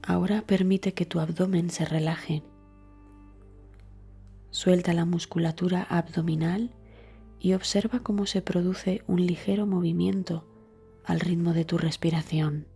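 Female narrator for guided meditation. Mellow, dark, husky and soft, calm scripted delivery with gentle trailing phrases. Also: on the low side, a little slow, faint music behind.

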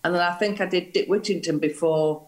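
A woman's voice: fairly low pitch, steady tone, brisk, a little mellow. A middle-aged woman, a former dancer, chatting as a guest and reminiscing about her early career. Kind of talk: casual chat.

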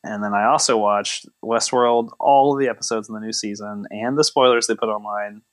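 A man's voice: lowish voice, steady tone, a little fast. Man bantering casually on a podcast. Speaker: podcast co-host.

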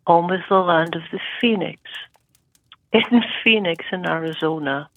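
A woman's voice: fairly low pitch, unhurried, no room sound, narrow, tinny audio. A woman playing a weary, resentful ancient phoenix familiar in a comedy sketch, aggrieved and plaintive. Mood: weary, bitter. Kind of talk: improvised comedy audio sketch.

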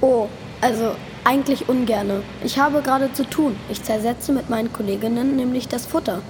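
Woman as a high-voiced, polite little microbe worker. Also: moderate pace, natural voice. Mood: reluctant. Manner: polite, hesitant. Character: busy, apologetic female microorganism worker in a cow's stomach. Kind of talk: satirical audio play dialogue. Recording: reverberant, clearly noisy ambience.

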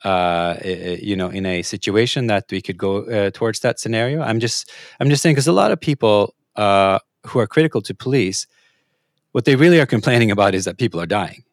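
A man from Norway asking an interview guest long, thoughtful questions, pausing and hesitating often. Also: on the low side, no echo, studio quality.

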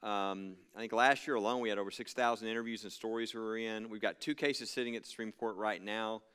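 A man's voice: on the low side, fairly even intonation, fast talker, fairly bright tone, smooth. A man from a religious freedom legal group giving an earnest, emphatic speech to an audience, with frequent fillers.

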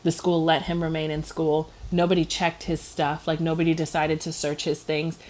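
A woman's voice: low voice, flat delivery, normal speed, darkish tone. A woman, chatting casually as a podcast co-host, giggly and full of fillers. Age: 30s. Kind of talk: casual chat.

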